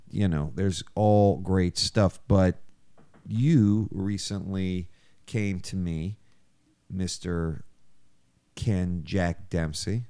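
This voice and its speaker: A man's voice: deep voice, warm tone, no room sound. A man co-hosting a podcast, chatting casually as he welcomes listeners and plugs shows. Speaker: podcast co-host.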